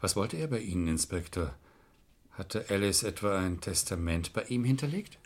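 Evasive, courteous lawyer, 1970s radio crime play. Lowish voice, guarded and formal. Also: male voice, animated, normal speed. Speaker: actor.

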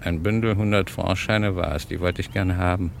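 An actor playing a cunning, smug blackmailer in a vintage German radio crime drama, with a gravelly, insistent, taunting delivery. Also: male voice, sits low, steady tone, moderate pace. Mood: smug, gloating. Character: cunning, smug male blackmailer and hotel thief. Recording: noisy old radio drama recording.

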